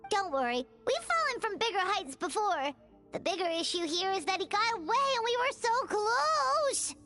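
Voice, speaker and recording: A woman voicing a tiny, excitable female fairy companion in a video game, who talks in a childlike way and calls herself by name. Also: very high-pitched, normal speed, full character voice. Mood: frustrated. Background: game music.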